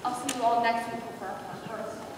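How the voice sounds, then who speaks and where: A woman playing a brisk, firm director of a children's Christmas pageant in an amateur readers theater skit, instructive and no-nonsense. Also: on the high side, fairly even intonation, lightly acted. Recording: distant, very echoey hall with background noise.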